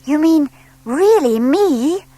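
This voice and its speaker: A woman's voice: sits high, lively intonation, takes it slowly, dark tone, no room sound, pitched above the performer's own voice. A woman voicing a small young male barn owl, proud, eager and blunt, in a children's audiobook. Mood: amazed. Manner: childlike, hesitant when flustered, blunt.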